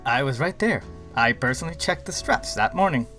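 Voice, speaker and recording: Man playing a fast-talking cruise activities director. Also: medium pitch, expressive, bright, forceful tone. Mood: earnest, officious. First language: Spanish. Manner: rambling, rattles off long lists. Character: long-winded, pedantic cruise ship activities director. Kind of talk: comic audio drama acting. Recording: music playing behind the voice.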